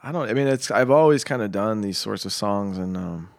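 A man from Boston, a singer-songwriter guest, talking casually and haltingly with many fillers and restarts. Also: fairly low pitch, animated, creaky, no echo, studio quality. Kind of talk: casual interview chat.

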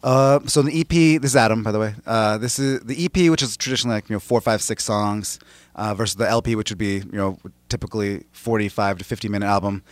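A man's voice: lowish voice, dry recording. A man from the Boston area, a band's frontman, answering interview questions casually with lots of fillers. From Boston area, US East Coast. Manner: quick, chatty, with many fillers. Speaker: band frontman, guest.

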